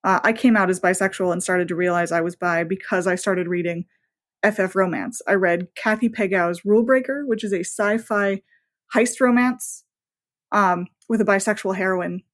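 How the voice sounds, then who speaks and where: A woman speaks in a medium-pitched voice as the guest in a casual interview. She is chatty and effusive, informal in her phrasing, and punctuates her thoughts with emphatic asides. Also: moderate pace. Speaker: podcast guest, romance novelist.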